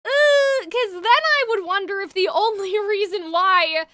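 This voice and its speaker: A woman's voice: very high voice, measured pace, bright, forceful tone, dry recording. A woman game streamer chatting casually with her viewers and thinking aloud as she reacts to a story.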